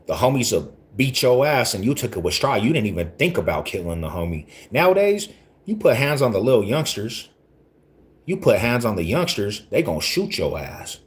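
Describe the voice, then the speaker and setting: A man's voice: on the low side, very animated, moderate pace. A man in his forties from Denver, talking casually to his livestream viewers in rambling street slang and often repeating himself. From Denver, Colorado. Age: 40s.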